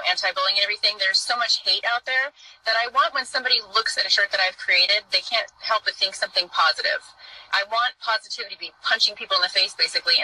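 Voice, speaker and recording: A woman's voice: mid-range voice, pushed and bright, recorded from a distance, rough recording. A woman in her thirties, a guest on a live show, chatting openly and swearing freely. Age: 30s. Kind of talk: casual chat. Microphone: phone in selfie mode.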